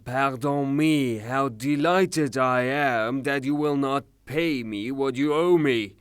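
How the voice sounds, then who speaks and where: A man's voice: mid-range voice, fairly bright tone, clean tone, dry recording, studio quality. A man acting in a theatrical audio drama as a furious, rude creditor given to blustering, sarcastic tirades. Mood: mock-polite, sarcastic. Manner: blustering, declamatory, sarcastic, long rhetorical tirades. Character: furious, rude creditor, a ranting misogynist gentleman.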